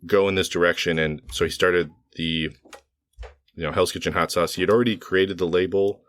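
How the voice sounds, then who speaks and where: Slightly hoarse, very deep-voiced man. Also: steady tone, moderate pace, fairly bright tone, no echo, studio quality. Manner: rambling, with frequent fillers and self-corrections. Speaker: podcast co-host. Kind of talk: casual podcast chat.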